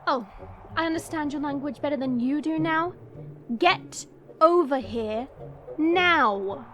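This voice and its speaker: Audio drama dragon princess, played by a woman: bright high voice, imperious, mocking, short-tempered, music behind. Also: takes it slowly. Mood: commanding. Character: haughty, short-tempered dragon princess trapped in human form. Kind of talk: audio roleplay drama acting.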